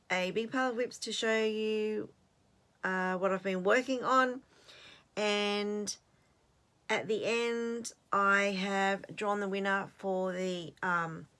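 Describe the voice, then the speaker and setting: A woman's voice: medium pitch, pushed and bright. An Australian woman in her late fifties hosting her own channel in casual chat, rambling and self-deprecating, with fillers and restarts. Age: 50s. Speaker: host.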